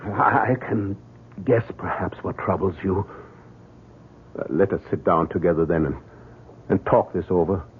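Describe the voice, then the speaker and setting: Fatherly older physician: low voice, soothing, hesitant, vintage radio drama with thin sound. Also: measured pace, pauses often. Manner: avuncular, hesitant with small uhs, soothing. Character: older fatherly male doctor, Viennese, reassuring and kindly. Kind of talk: old-time radio drama acting. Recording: narrow noisy old radio broadcast.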